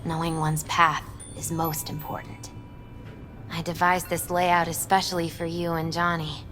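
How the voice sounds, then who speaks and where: A young female fortune-teller in a game, with a slightly low voice. She is earnest and mystical, choosing her words in a measured, solemn way. Light music runs beneath her. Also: level tone, fairly bright tone. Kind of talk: naturalistic video game acting.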